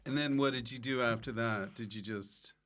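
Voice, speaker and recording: Male host, average pitch, loose conversational interviewing for a comedy podcast. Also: moderate pace, a bit bright. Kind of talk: casual comic interview chat.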